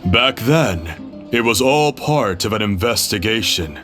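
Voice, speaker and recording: A man's voice: lowish voice, lively intonation, a little slow, fairly bright tone, soft background music. An actor playing a friendly uncle innkeeper who hosts an eating contest, chatty and chuckling as he explains. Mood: cheerful. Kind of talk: game cutscene voice acting.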